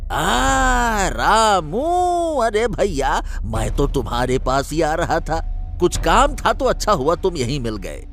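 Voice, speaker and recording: A man voicing an anxious, plaintive village cotton seller in an animated moral story. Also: a little high, slow pace, gravelly, full character voice. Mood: hesitant.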